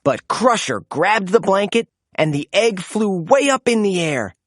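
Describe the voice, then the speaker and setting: Unhurried, animated woman storyteller. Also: medium pitch, no echo, clean studio sound. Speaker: storybook reader. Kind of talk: animated children's read-aloud narration.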